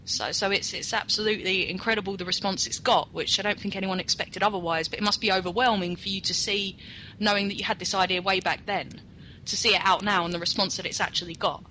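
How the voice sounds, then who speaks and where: A woman's voice: mid-range voice, steady tone, fast talker, sharp tone, a little hiss or hum, rough recording. A woman hosting a casual talk, speaking with enthusiasm and building up to her questions. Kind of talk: casual podcast chat.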